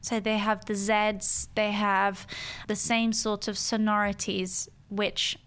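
A woman's voice: mid-range voice, little pitch movement, slow, a bit bright, clear, resonant tone. A woman who grew up speaking French, chatting casually as a podcast guest and laughing easily. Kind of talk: casual conversation.

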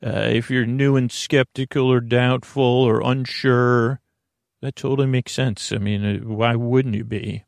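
A man with a creaky voice hosting a bedtime podcast, meandering and halting as he stammers and circles back on his words. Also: medium pitch, no room sound, studio quality. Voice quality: creaky, gravelly. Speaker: podcast host. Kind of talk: rambling bedtime podcast monologue to help listeners sleep.

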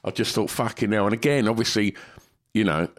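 A man's voice: lowish voice, a little fast. A man, chatting on a podcast, a bit congested from a cold, rambling and swearing. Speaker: podcast co-host. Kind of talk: casual chat.

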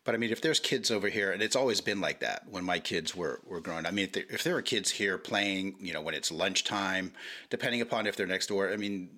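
A man's voice: sits low, brisk, sharp tone. A middle-aged man chatting casually as a podcast guest, hedging with many restarts and fillers while explaining diplomatically. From Hawaii.

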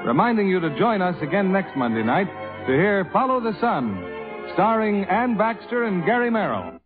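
A man's voice: high voice, very animated, moderate pace, music in the background. A man announcing in the scripted, selling style of 1950s radio. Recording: tinny vintage 1950s radio broadcast.

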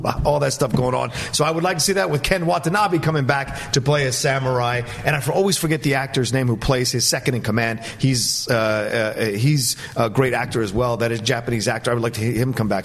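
A man's voice: medium pitch, fairly bright tone. A man talking casually as a panelist on a movie talk show.

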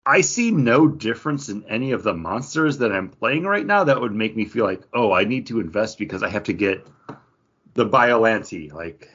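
Male podcast co-host, raspy and bright, emphatic casual talker, slightly dull recording. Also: lowish voice, big pitch swings, normal speed. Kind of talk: casual chat about mobile games.

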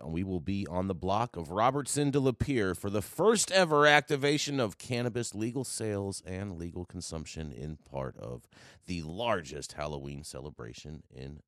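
A man with a low voice hosts a casual news talk show. His pitch swings widely as he stresses and repeats his points, and the sound is close and dry. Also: animated, normal speed, fairly bright tone, no echo. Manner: emphatic, repeats phrases.

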